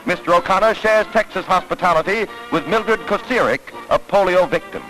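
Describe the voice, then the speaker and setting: A high-voiced American man announces in the grand manner of a 1940s newsreel. His delivery is scripted, declamatory and dramatic, with prominent music running behind him on an old film soundtrack. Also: fairly quick, pushed and bright, low-quality recording. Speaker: newsreel narrator. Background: orchestral newsreel music.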